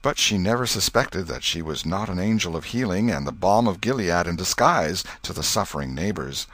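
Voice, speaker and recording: Man, audiobook narrator, lowish voice, animated melodic delivery, literary storytelling, expressive phrasing. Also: moderate pace, no room sound.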